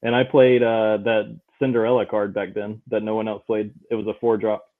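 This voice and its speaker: A man's voice: lowish voice, flat delivery, a little fast. A man who is a guest player, chatting casually and laughing easily. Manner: laid-back, laughs often. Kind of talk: casual chat. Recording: tinny phone-like line.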